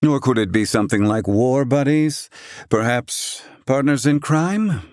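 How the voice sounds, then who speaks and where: A man's voice: medium pitch, a little slow, a little mellow, no room sound, clean studio sound, close to an everyday voice. An actor playing a proud, grumpy, brainy older man in a video game, with pompous, blustering, wordy old-fashioned diction. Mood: proud, grumbling. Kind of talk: dramatic English-dub game acting.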